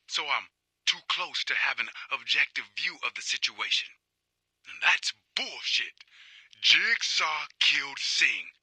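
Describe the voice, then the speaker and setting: A guilt-ridden male detective broods aloud in a video game confessional. The voice is raspy and hard-edged, close and dry, with a halting, obsessive intensity. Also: mid-range voice, sharp tone, no echo, full character voice. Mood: bitter anger. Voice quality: husky, raspy.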